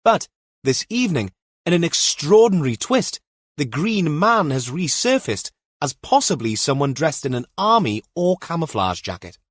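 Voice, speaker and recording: Man playing male news correspondent, earnest, urgent, formal, raised pitch, audiobook thriller, dry sound. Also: sing-song, moderate pace, dry recording. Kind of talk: live news report.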